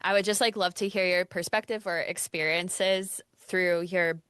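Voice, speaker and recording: A woman's voice: on the low side, fairly even intonation, normal speed, fairly bright tone, clean tone, no echo, clean studio sound. A woman hosting a casual podcast chat, bubbly, laughing often and filling with "like". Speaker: podcast host.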